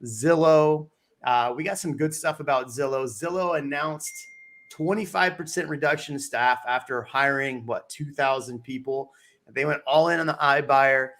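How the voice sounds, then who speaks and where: A man hosts a relaxed real estate livestream from home over a computer mic. His voice sits at an ordinary pitch. He jokes and teases his co-hosts as he moves the talk along. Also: moderate pace. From Texas. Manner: joking, teasing. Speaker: real estate show host. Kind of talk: casual livestream chat. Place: home studio on a live stream.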